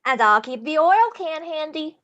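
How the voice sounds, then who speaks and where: A woman's voice: high voice, animated, normal speed, fairly bright tone. A woman reading the part of a kind, eager, concerned young girl at a table read, full of encouraging exclamations. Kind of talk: live table read of a film script. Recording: slightly dull microphone sound.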